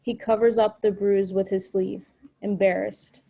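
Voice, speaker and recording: A woman's voice: mid-range voice, level tone, a little slow, vocal fry, mellow, no room sound. A woman reading screenplay stage directions aloud as narrator at a table read. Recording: dull lo-fi recording.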